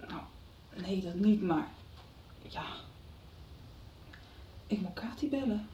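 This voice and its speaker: A woman's voice: mid-range voice, slow pace, mellow, close to an everyday voice. A woman playing a worried, irritable teenage daughter in a radio soap. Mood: flustered. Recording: dull, roomy, distant 1990s radio recording.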